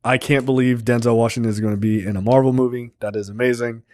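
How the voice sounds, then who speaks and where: A man of middling pitch co-hosts a podcast into a studio mic. He talks loosely and swears a lot, and he gets excited and breaks into laughter often. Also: fast, no echo. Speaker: podcast co-host. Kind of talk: casual chat.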